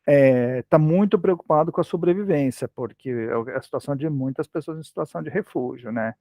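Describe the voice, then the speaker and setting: A man's fairly high voice with a gravelly fry runs through his answers, recorded dry and close. He explains teaching approaches conversationally, hesitating and restarting his sentences on a video call. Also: a little fast, dark tone, dry recording. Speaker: language teaching lecturer. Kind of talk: academic talk, casual explanation.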